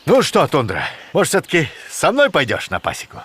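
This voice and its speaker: A man's voice: fairly high pitch, huge pitch swings, fairly quick, fairly bright tone, breathy edge, full character voice. A man voicing a sensible, good-natured bear in a children's cartoon, friendly, coaxing and mildly puzzled. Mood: coaxing, resigned. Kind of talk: animated children's cartoon acting.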